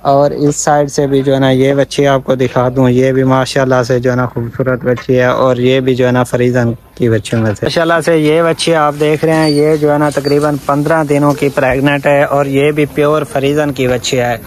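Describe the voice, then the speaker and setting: A man's voice: mid-range voice, little pitch movement, moderate pace, hardly any pauses, a little hiss or hum. A man presents a sales vlog, often repeating filler phrases, recorded outdoors on a phone. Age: 20s. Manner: repetitive verbal filler phrases. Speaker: cattle seller and video presenter. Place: open-air farm yard. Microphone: phone at arm's length.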